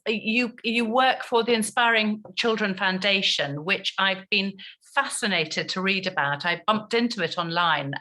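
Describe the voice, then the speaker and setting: Bright-voiced woman hosting an interview. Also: medium pitch. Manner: warm welcoming, stumbling over phrasing. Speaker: host. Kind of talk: interview host.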